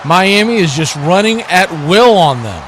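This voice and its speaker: A man calling American football play-by-play live on a stream, excitable and speaking in punchy, clipped phrases. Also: high-pitched, unhurried, very noisy. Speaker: play-by-play commentator on a livestream watch party.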